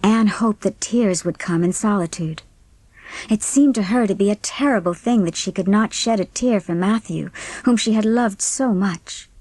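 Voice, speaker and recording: A woman's voice: medium pitch, moderate pace, darkish tone, dry recording, low-quality recording. A woman reading scripted narration for a dramatised audiobook. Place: dry studio.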